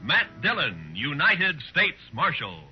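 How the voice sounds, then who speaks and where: Muffled vintage radio, loud music, higher male voice, dramatic scripted announcer, 1950s western style. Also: wildly swooping pitch, takes it slowly.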